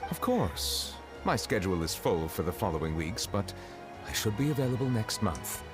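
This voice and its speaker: A man playing a courteous, calm high official in a video game, speaking formally and with measured care. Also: loud music behind the voice. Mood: composed.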